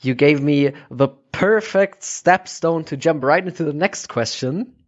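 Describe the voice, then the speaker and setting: A woman with a very deep voice hosts a casual interview. She speaks conversationally, and the audio is slightly dull and lo-fi. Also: measured pace. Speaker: podcast host, interviewer.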